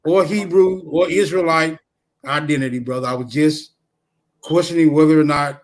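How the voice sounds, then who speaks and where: A man in an informal debate, putting questions to others in formal, deliberate wording. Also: on the high side, steady tone.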